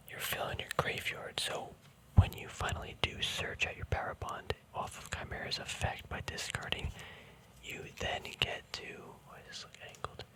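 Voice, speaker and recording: A man whispers softly throughout, with no full voice, in an intimate ASMR style. His delivery is casual and rambling, like a laid-back hobbyist talking a viewer through his collection. He comes across as informal and gently self-deprecating. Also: rough recording. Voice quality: soft breathy whisper. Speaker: ASMR card collector host.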